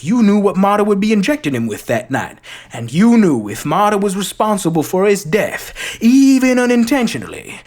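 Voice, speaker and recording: An amateur performer reciting a film monologue as a theatrical, grandiloquent gentleman detective with a husky voice. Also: medium pitch. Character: theatrical gentleman detective, dramatic, deliberate, righteous.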